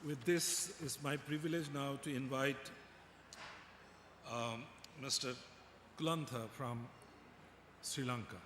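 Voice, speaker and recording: A man's voice: medium pitch, steady tone, slow, pauses often, a bit bright. A man making formal remarks as the chair of a conference session. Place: large conference hall. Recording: echoey hall, clear background noise.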